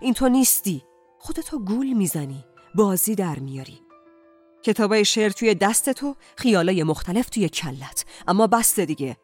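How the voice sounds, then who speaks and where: A woman's voice: lowish voice, a little mellow, faint music behind, close to an everyday voice. A woman voicing an agitated middle-aged husband in a novel, insistent and reproachful. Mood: agitated, scornful. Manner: insistent, accusing, pleading.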